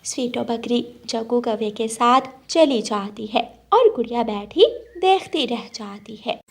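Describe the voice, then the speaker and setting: A woman's voice: on the high side, measured pace, vocal fry. A woman narrator reading a scripted moral story for children.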